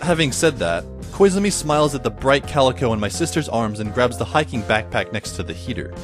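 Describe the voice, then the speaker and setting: Man acting a schoolboy narrator, middle pitch, animated intonation, wry, musing, scripted audio drama, music behind. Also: fairly quick, natural voice. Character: wry high school boy narrating his story.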